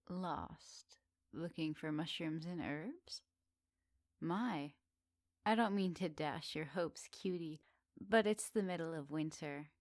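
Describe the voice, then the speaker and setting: A woman's voice: low voice, no echo. Woman playing flirty, teasing witch, close dry sound. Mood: flirty welcome, then teasing, reassuring. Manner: teasing, gently doting, slightly old-fashioned politeness. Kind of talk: ASMR roleplay addressed to the listener.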